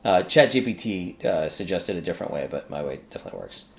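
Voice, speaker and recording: Low creaky voice narrating a coding screencast. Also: lively intonation, fast. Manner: informal, exclamatory asides to self and software. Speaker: tutorial host. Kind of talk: casual screen-recorded coding tutorial, thinking aloud. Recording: faint background noise, keyboard typing.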